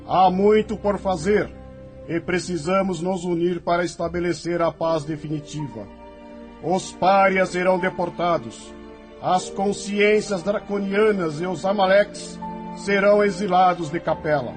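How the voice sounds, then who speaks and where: A man's voice: sits high, steady tone, unhurried. A man playing an exalted spirit chief of heavenly legions, proclaiming solemnly in an audio drama. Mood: solemn, commanding. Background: prominent music.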